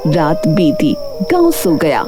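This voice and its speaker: A woman reading scripted story narration for an animated tale. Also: sits low, slow pace. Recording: clear background music under voice. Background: background music.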